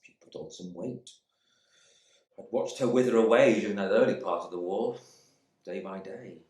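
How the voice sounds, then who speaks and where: A man playing a bitter, stiff husband back from war in a dramatic monologue, with clipped, halting, disdainful phrasing. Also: lowish voice, darkish tone, natural voice. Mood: wry, brooding. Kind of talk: dramatic monologue reading from a novel. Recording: roomy indoor echo.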